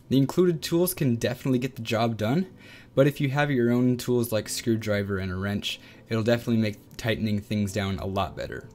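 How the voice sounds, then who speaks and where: Young man reading an earnest gear review. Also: fairly low pitch. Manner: earnest, steady read-through. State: recovering from illness, voice a bit weak. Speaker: flight sim reviewer. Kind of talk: scripted product review. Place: small home gaming room. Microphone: close desk mic, wearing headphones.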